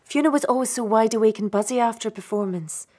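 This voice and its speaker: A woman acting a husky, defensive stage actress. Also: a little high, fast, darkish tone, lightly acted. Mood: confiding, gossipy. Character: defensive, gossipy young stage actress under police questioning. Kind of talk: radio drama acting.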